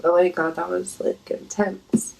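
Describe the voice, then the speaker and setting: Woman, congested with a cough, heavy vocal fry, unhurried pace, flirty, playful, casual intimate chat. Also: lowish voice, flat delivery, background noise.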